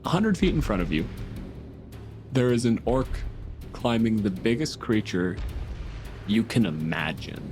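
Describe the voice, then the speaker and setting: Male game master, lower register, narrates and referees tabletop play over clear background music. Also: expressive, a little slow, long pauses. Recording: music playing under the voice.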